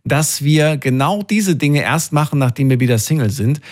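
A man's voice: on the high side, expressive, normal speed, a little mellow. Male radio host in his late thirties. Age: late 30s. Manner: engaged, interjecting, probing with questions. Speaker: radio call-in show host. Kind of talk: conversational live radio talk.

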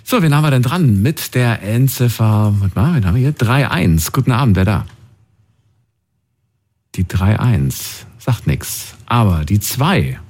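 A man's voice: on the low side, very animated, unhurried, a little mellow. A man hosting a call-in talk show, speaking in a casual, conversational, questioning way with callers. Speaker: radio call-in show host.

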